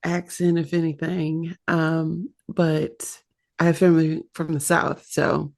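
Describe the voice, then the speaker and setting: A woman's voice: low-pitched, flat delivery, takes it slowly, creaky, a little mellow. A woman with a Midwestern accent, co-hosting a casual pop-culture podcast and laughing often. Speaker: podcast co-host.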